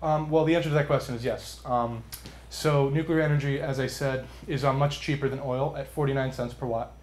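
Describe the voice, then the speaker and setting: A young man, a student contestant, explaining his business pitch to judges in an earnest, conversational way. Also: fairly even intonation, brisk, a little mellow, slight background noise. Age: young adult. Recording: roomy, echoing live sound.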